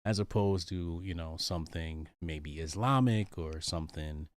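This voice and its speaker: A man's voice: low-pitched, a little slow, dry recording, clean studio sound. An American man reading a book aloud and commenting on it, stopping often for ums, uhs and restarts. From United States.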